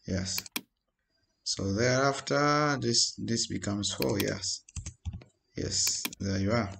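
A man giving an informal, halting screen-recorded programming tutorial as he types. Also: lowish voice, gravelly, a bit bright. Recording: noisy home recording with keyboard clicks. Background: keyboard typing and mouse clicks.

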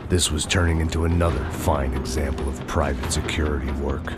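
A cynical American antihero narrating a noir video game. His middle-aged male voice sits extremely low and sounds tired of the world. He delivers everything with sardonic deadpan, while loud music plays behind him. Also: fairly quick. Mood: grim, sardonic. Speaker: narrating lead character.

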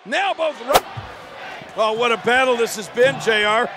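A man playing an astonished, excitable wrestling color commentator in a video game. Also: very high-pitched, normal speed. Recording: loud crowd, very noisy game audio. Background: roaring arena crowd.

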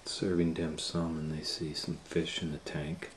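A middle-aged man reading a picture book aloud to children in a relaxed, chatty way, sometimes dropping to a near whisper, recorded on a close camera mic at home. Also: a bass voice, measured pace, a little hiss or hum. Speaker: children's storytime reader. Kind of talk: casual read-aloud for kids.

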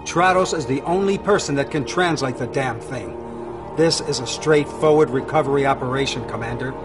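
A man's voice: mid-range voice, moderate pace, over music, natural voice. A middle-aged man playing a stern, businesslike army general, giving a formal, authoritative mission briefing in a game.

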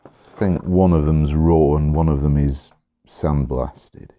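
Deep-voiced man, rambling pen chat. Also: fairly even intonation, takes it slowly, dark tone, no room sound. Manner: rambling, chatty, with many ums and restarts. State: slightly hoarse. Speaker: pen collector vlogger. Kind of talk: casual unboxing chat. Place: indoors at a desk, overhead camera on hands.

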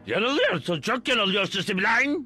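A horned monster tyrant from a dubbed cartoon. The voice is very high, piercingly sharp and wildly animated, full of menace and command. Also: male voice, moderate pace, faint music behind, full character voice. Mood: angry, commanding. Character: bossy horned male monster tyrant, wicked and threatening. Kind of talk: dubbed cartoon villain acting.

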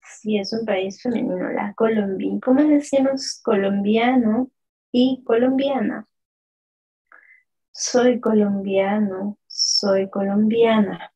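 A woman teaching a live online lesson, drawing out words slowly in pronunciation drills and praising the chat's answers. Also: mid-range voice, fairly even intonation, mellow, breathy edge, rough recording. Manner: slow, drawn-out pronunciation drills; asks the chat questions and praises answers. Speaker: French teacher.

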